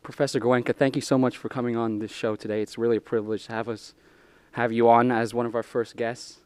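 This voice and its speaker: A man's voice: mid-range voice, little pitch movement, fast talker, a little mellow, clean tone. A young man hosting a college TV interview, formal and slightly stiff, partly reading a script. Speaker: student host.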